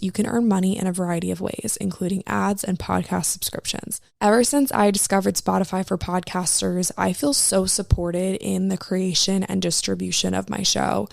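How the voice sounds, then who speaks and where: A woman's voice: medium pitch, vocal fry, no echo. Dry-sounding woman reading an ad. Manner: bright, promotional delivery. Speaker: ad reader. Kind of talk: upbeat scripted ad read.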